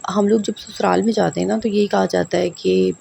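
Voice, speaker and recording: A woman's voice: medium pitch, fairly even intonation, a little fast, vocal fry, mellow, slight background noise. A woman in her thirties with a sore throat, chatting to viewers in a rambling, direct way as she gives family advice at home. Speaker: vlogger giving family advice. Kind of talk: casual advice vlog.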